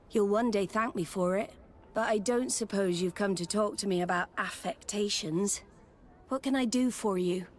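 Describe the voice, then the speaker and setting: A woman's voice: medium pitch, slight background noise, lightly acted. An actress playing a commanding, shrewd female admiral in a video game, formal and assured in her authority. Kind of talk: video game dialogue acting.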